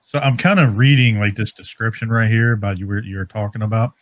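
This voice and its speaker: A man with a fairly low voice talks casually as a podcast co-host. He rambles informally as he explains bits of trivia, in a jokey way. The sound is dry, with no room to it. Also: fast, dry recording.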